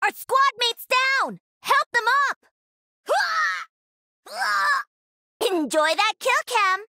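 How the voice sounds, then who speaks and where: Young female gamer mech pilot, lively swooping intonation, dry studio sound, cocky, playful, punchy video game lines. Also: very high voice, very slow, vocal fry, no echo, heavily acted. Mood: excited, competitive.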